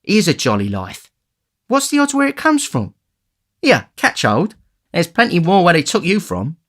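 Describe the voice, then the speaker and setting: A man voicing a cocky, boastful boy pickpocket who talks in slang, raised high and loud above his narrating voice. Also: huge pitch swings, moderate pace, full character voice. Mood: scornful.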